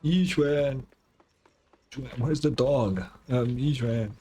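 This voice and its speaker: A man's voice: medium pitch, very slow, mellow, slightly rough. A man streaming a game, casually reacting and reading on-screen text aloud in an offhand, unimpressed way.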